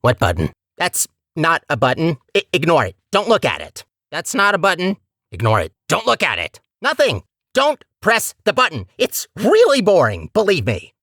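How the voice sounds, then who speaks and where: A man's voice: a little high, wildly swooping pitch, moderate pace, fairly bright tone, dry recording, studio quality, full character voice. A man voicing a talkative robot core in a video game, a petty, scheming villain with a sarcastic, theatrical and condescending manner. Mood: petty, sneering. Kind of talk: video game character acting.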